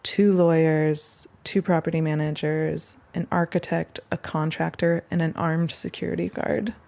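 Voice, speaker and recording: A woman's voice: sits low, little pitch movement, unhurried, vocal fry, darkish tone. A woman casually telling stories in an interview as a resident. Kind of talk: casual anecdotal interview talk.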